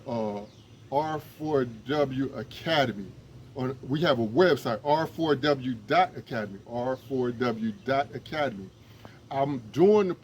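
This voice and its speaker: A man's voice: medium pitch, slight background noise. A man, an emergency management instructor, making an earnest, rambling, pleading appeal outdoors, with many restarts. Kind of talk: casual direct-to-camera appeal.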